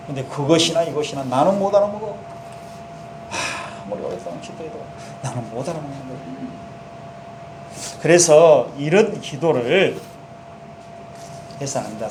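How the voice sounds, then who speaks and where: A man's voice: a little high, reverberant, background noise. A male pastor with a Jeolla accent, giving a conversational sermon and voicing sample prayers in the first person. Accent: Jeolla dialect.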